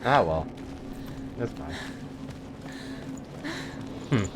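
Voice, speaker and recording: A man's voice: lowish voice, animated, drawn-out words, noisy background. A man hosting a let's play, musing aloud to himself in casual, offhand commentary. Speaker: gamer, let's play host. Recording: game music behind the voice.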